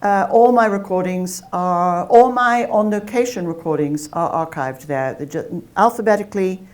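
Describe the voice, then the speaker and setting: A woman's voice: lowish voice, moderate pace, a little mellow. A woman academic speaking at a talk and answering audience questions, chatty and self-deprecating, with frequent restarts.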